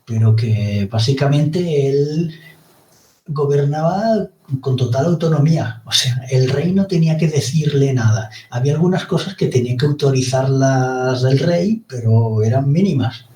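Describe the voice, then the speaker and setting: A man from Spain with a Spanish accent, a podcast guest explaining his views at a slow, deliberate and hesitant pace. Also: medium pitch, warm tone. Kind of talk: explanatory monologue. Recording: roomy, distant from the mic.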